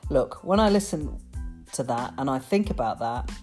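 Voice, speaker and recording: A woman's voice: extremely low pitch, expressive, normal speed, a little mellow, faint music behind. A woman hosting a self-development podcast, talking in an upbeat, chatty way and laughing often. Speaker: podcast host. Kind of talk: casual interview chat.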